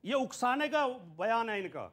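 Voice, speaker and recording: A man's voice: extremely high pitch, very animated, normal speed, fairly bright tone. A man arguing as a party spokesman in a TV debate, emphatic and fond of repetition. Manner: argumentative, emphatic, builds points by repetition. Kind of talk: televised political debate argument.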